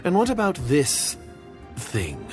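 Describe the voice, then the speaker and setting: A man's voice: a little high, wildly swooping pitch, slow, loud music behind the voice, full character voice. An actor playing a secretive, disdainful male conspirator in a video game, guarded, formal and skeptical. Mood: suspicious.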